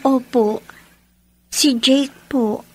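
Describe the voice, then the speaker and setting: A woman's voice: sits high, fairly even intonation, drawn-out words, a little mellow, slightly rough, exaggerated voice. An actress playing a sorrowful, respectful young woman in a Filipino radio drama, speaking plaintively. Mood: worried.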